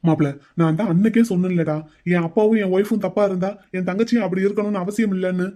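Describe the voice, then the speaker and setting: A man's voice: high-pitched, steady tone, fast, dark tone, close to an everyday voice. A man voicing a pleading, defensive brother-in-law. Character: pleading brother-in-law caught in a family quarrel.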